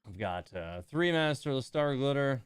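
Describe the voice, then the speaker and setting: A man's voice: medium pitch, lively intonation, normal speed, clean tone, no echo. A man giving casual, rambling live stream commentary on a game, thinking aloud as he plays.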